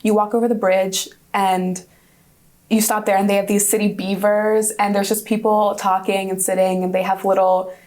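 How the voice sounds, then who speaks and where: A woman's voice: medium pitch, flat delivery, moderate pace, a little mellow. Chatty young woman, Hungarian native. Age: young adult. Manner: chatty, enthusiastic, rambling with restarts. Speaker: guest, study-abroad student. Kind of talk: casual interview answers.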